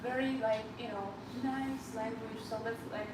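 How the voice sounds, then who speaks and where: A woman with a strong Russian accent, a guest on a podcast, talking softly with hesitations and fillers; Russian is her first language. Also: on the high side, fairly even intonation, moderate pace. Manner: soft-spoken, hesitant, many fillers. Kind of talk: casual interview chat. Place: live podcast set on a couch. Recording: echoey room, distant mic, background noise.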